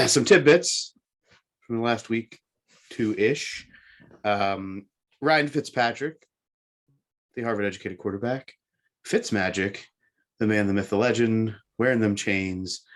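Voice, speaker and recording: A man with a fairly low voice co-hosts a sports talk show. He recaps the news in a measured, halting way, repeating words as he goes. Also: a bit bright.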